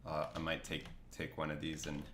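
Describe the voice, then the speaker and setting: Low male voice, sniffly from a cold, loose rambling livestream talk, roomy faint-noise recording. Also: completely flat delivery, moderate pace, fairly bright tone. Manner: rambling, many fillers and restarts. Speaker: artist streaming a drawing session. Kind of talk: casual livestream chat while drawing.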